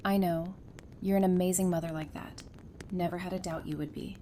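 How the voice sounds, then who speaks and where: A woman's voice: fairly even intonation, a little fast, warm tone, natural voice. A woman playing a supportive, frank, loyal friend in an audio drama, with a reassuring and candid delivery. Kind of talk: fan audio drama dialogue. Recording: background noise with low rumble.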